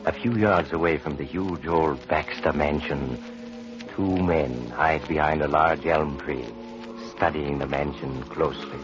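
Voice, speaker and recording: A creepy horror-show host in the style of old-time radio. The voice sits extremely low and unhurried. It comes through a dull vintage broadcast, with music playing clearly beneath it. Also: male voice, fairly even intonation. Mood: ominous. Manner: slow, menacing storytelling. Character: sinister male host of a horror tale. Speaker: narrator. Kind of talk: 1940s radio acting.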